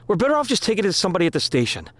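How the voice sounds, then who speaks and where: A man's voice: sits high, wildly swooping pitch, rapid-fire, fairly bright tone, dry recording, exaggerated voice. An actor playing a frustrated young man standing up to his father, defiant and exasperated.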